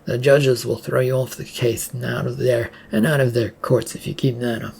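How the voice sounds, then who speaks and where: Stern, creaky small-town sheriff narrator's impression. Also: mid-range voice, fairly even intonation, normal speed, darkish tone. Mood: scolding. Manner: firm, scolding. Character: stern male small-town sheriff, reproving his men.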